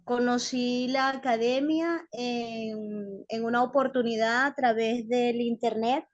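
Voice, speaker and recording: A muffled online call carries the somewhat high voice of a middle-aged Venezuelan-accented woman. She presents herself to a class as a student, speaking in an earnest, devout and grateful way. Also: fairly even intonation, slow pace. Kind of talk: personal self-introduction to a class. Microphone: online group call microphone. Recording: dull lo-fi online call audio.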